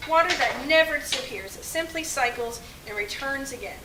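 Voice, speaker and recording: A woman's voice: high-pitched, expressive, a little fast. A woman presenting a children's puppet show with scripted lines addressed to the audience. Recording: echoey, distant, noisy.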